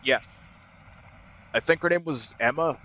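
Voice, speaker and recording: A man playing a frightened homeowner phoning the police, speaking hesitantly and haltingly in an acted emergency call. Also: on the high side, bright, forceful tone, rough recording. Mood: fearful, uneasy.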